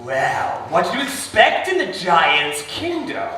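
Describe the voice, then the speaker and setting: A hammy pantomime villain, heard from afar in a very echoey theatre. The voice is piercingly high and swoops about, a scheming henchman who wallows in self-pity. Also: huge pitch swings, slow pace, sharp tone, recorded from a distance, full character voice. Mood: menacing. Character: giant's henchman tax collector, scheming, self-pitying villain. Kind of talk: British pantomime stage acting.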